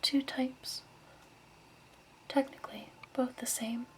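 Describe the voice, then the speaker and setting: A woman playing a gentle, soothing crystal shop keeper in a hushed, patient ASMR roleplay whisper. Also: high voice, steady tone, slow pace. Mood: gentle, explaining.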